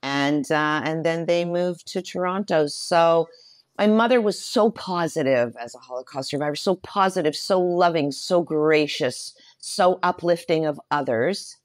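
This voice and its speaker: A woman's voice: low voice, measured pace, no echo, clean studio sound. A middle-aged woman speaking as an interview guest, telling personal stories expressively, repeating phrases for emphasis. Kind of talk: personal storytelling in conversation.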